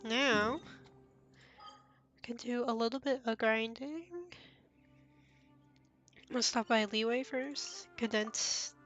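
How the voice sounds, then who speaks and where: Slow-paced woman streaming a game. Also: on the high side, steady tone, fairly bright tone, highs cut off. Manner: absent-minded thinking aloud. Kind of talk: casual gameplay stream chat. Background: game music.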